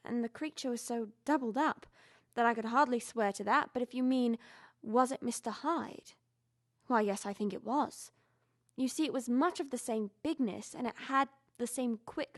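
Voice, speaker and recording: A woman's voice: on the high side, lightly acted. A young woman playing a loyal, frightened longtime household servant in a Victorian audio drama, earnest and deferential, telling things with emphasis. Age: young adult.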